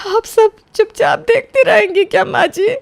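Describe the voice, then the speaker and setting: A woman's voice: extremely high pitch, normal speed, vocal fry, no room sound. A woman playing a desperate, wronged young wife in a melodramatic audio story, pleading tearfully.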